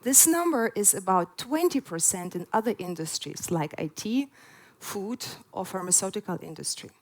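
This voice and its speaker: A woman in her forties, originally from Lithuania, giving an earnest prepared talk at a festival with frequent "uh" fillers. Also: a little high. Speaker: conference speaker. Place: festival stage before an audience.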